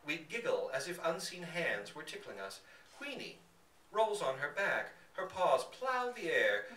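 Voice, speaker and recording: Animated man reading a story, roomy sound. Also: a little high, a little slow. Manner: theatrical, dramatic emphasis. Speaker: reader at a live literary reading. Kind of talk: expressive literary narration. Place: indoor public reading in a bookstore. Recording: roomy, distant mic, faint background noise.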